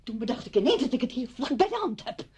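An actress playing a bitter, stubborn, grieving widow in a radio play, brooding and cutting. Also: female voice, sits high, big pitch swings, fast, darkish tone, slightly rough, heavily acted. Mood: coldly sardonic. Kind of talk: Dutch radio play acting.

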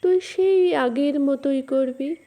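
A woman's voice: sits high, takes it slowly, dark tone. A woman voicing a patient father in a children's cartoon story, explaining things to a child step by step. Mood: patient, instructing. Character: patient, instructive father of a young boy.

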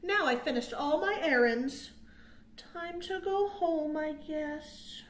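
An American woman in her thirties hosting storytime for young children, with sing-song, drawn-out phrasing, recorded indoors on a webcam mic. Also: sits high, lively intonation, slight background noise. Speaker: children's librarian, storytime host. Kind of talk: storytime read-aloud and counting rhymes for children. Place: small quiet room indoors. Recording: roomy webcam sound.

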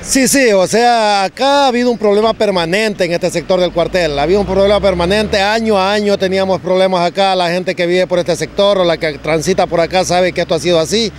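A middle-aged man with an Ecuadorian accent, a public works director explaining repairs in an outdoor interview, halting with many fillers and restarts. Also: high-pitched, a little hiss or hum. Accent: Ecuadorian Spanish. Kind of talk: on-site news interview. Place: outdoors at a street excavation site. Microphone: handheld reporter mics held close.

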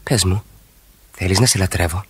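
A man's voice: deep voice, breathy edge. An actor playing a restless, eloquent romantic husband in his thirties in a 1960s radio play, with rhetorical, emphatic phrasing and repeated words. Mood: pleading, ardent.

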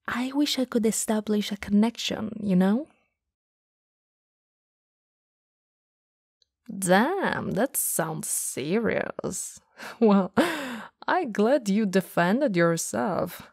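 A woman acting a friend's warm, teasing goth older sister in an intimate, soft-spoken ASMR roleplay, sometimes slipping toward a whisper. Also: on the low side, dry recording, clean studio sound, exaggerated voice. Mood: gentle, concerned. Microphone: close studio microphone.

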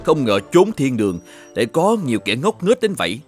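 A grumpy older shoemaker from an animated folk tale. He nags and exclaims in a lively, wide-ranging voice at a middling male pitch. Faint music plays behind him. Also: fairly quick, husky. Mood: scornful muttering. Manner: exclaiming, muttering to himself, scolding. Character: grumpy, nagging older shoemaker husband, scornful and quick to scold.

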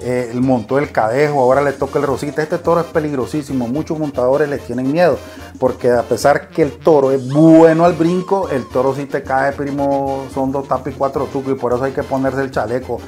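A man with a Nicaraguan accent calling bull riding, with fast play-by-play that rises to shouts. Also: mid-range voice, steady tone, faint music behind. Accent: Nicaraguan Spanish. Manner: rapid-fire calls rising to shouts. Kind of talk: excited live sports commentary.

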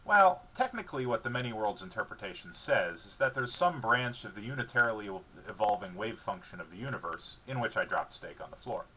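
A man's voice: fairly low pitch, animated, fairly quick, few pauses, pushed and bright, slight background noise, poor audio. A man reading his own book dramatically, moving between narration and dialogue in a wry, explanatory way.

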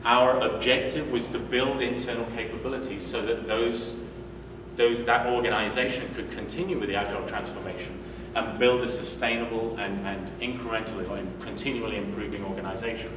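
A man's voice: on the low side, steady tone, fairly quick. A British man from the UK in his forties giving a conference talk in a hotel meeting room, conversational, with frequent fillers and restarts. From United Kingdom. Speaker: conference speaker. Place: hotel meeting room, indoors, medium size. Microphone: room mic from a distance. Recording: echoey room, distant mic, noisy.

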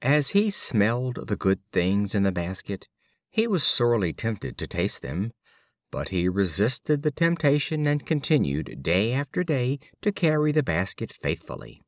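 A man narrating short fables as an audiobook reader, with an expressive, deliberate storytelling delivery. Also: on the low side, dry recording, clean studio sound.

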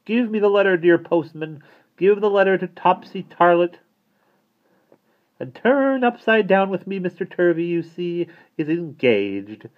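A man plays a giddy, joyful lady from a children's tale. The voice is pitched high and swoops in a gushing singsong. It sounds narrow and tinny, as if down a phone line. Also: lively intonation, a little slow. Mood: coaxing. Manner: singsong, rapturous. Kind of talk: children's storybook character voice.

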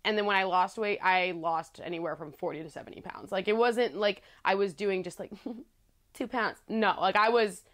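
A young woman in her twenties with a low voice, telling her story candidly to the camera in a casual vlog, chatty and playful with frequent laughs. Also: normal speed, a bit bright. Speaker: vlogger. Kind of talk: casual talking-head storytelling. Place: small living room with plants, indoors.

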